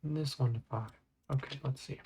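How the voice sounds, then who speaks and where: A man with a medium-pitched voice gives an informal screen tutorial. He talks through each small step as he does it, thinking aloud in an everyday conversational way. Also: fairly even intonation, fairly quick, a little mellow, a little hiss or hum. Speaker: tutorial host. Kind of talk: casual screen-recorded software tutorial.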